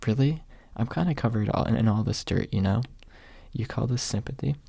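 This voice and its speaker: A man's voice: lowish voice, lively intonation, fairly quick, gravelly, warm tone, slightly rough, dry recording, full character voice. A man voicing a flustered, protesting young male hero in a fantasy audiobook.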